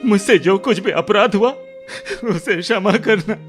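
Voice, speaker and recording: A man playing a remorseful, tearful younger brother in a radio drama, pleading haltingly and repeating his words. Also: sits high. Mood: sorrowful, resigned. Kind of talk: Hindi radio drama acting. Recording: music under the voice.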